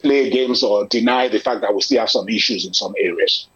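A man in conversation, speaking in an earnest, measured, persuasive way. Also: fairly low pitch, husky. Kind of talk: conversational discussion. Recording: lo-fi muffled audio.

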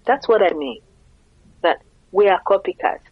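A woman's voice: on the low side, expressive, normal speed, breathy edge, lo-fi sound. A middle-aged Nigerian woman with a Nigerian accent, speaking earnestly as an interview guest and often asking rhetorical questions. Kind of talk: conversational interview.

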